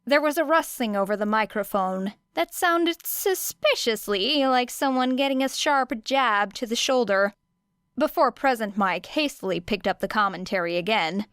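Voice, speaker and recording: A woman reading a story as an audiobook narrator, with expressive, dramatic phrasing and deliberate pauses. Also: fairly high pitch, clean tone, no echo, studio quality. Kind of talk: expressive scripted fiction narration.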